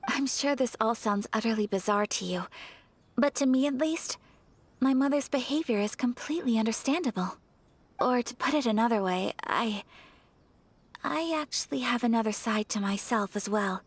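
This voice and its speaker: A woman playing a refined young noblewoman in a video game, gentle and earnest, speaking softly in formal, measured phrases. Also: on the high side, dry recording. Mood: reflective. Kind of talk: video game character acting. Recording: soft music behind the voice.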